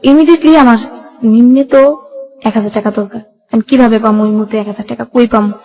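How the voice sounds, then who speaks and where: A woman's voice: a little high, steady tone, a little fast, mellow, highs cut off. A young woman with a colloquial Bangladeshi Bengali accent, telling her life story in a plain, run-on way as a radio interview guest.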